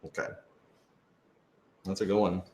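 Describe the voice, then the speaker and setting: A man's voice: low-pitched, flat delivery, unhurried, a little mellow. A man chatting casually and unscripted on a live stream, thoughtful and hedging, with joking asides. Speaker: radio drama creator answering fan questions. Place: office, indoors. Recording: roomy, echoey indoor room.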